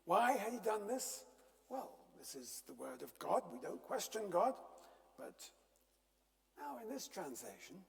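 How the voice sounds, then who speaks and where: An older man giving a church lecture, telling wry stories in a measured way with frequent ums. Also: high voice, big pitch swings, vocal fry. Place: church hall. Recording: long reverb of a church hall.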